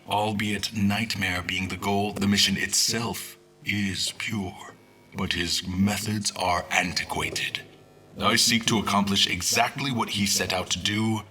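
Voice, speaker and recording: A man playing a brooding, grandiose schemer in a fantasy audio drama, with solemn, ornate, deliberate delivery and a husky, breathy voice. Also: deep voice, steady tone, bright, forceful tone, full character voice. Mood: scornful, resolute.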